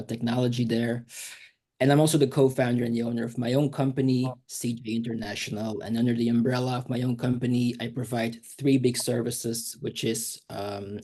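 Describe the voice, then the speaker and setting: A man from Belgium, a guest expert, answering interview questions in a measured, explanatory way. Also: medium pitch, warm tone.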